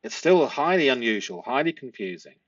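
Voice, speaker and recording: A man giving analytical commentary as a host, reading from a transcript in halting, stop-start phrases and stressing key words. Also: mid-range voice, sing-song, husky, no echo. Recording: dull, muffled lo-fi audio.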